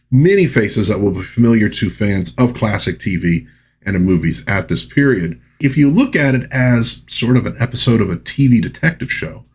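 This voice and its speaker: Male review host, somewhat low and husky, chatty and folksy, talking straight to the audience. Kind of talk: conversational movie review, recapping and commenting.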